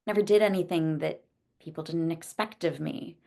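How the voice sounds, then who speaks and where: A woman playing an earnest, hesitant young woman in a naturalistic screenplay table read, speaking haltingly. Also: sits low, vocal fry, mellow. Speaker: actor at a script table read.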